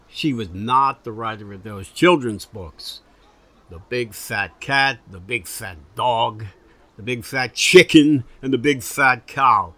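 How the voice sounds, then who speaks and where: Forceful, hard-nosed reporter. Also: male voice, medium pitch, full character voice. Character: hard-nosed, blunt male investigative newspaper reporter. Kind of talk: mock-documentary interview.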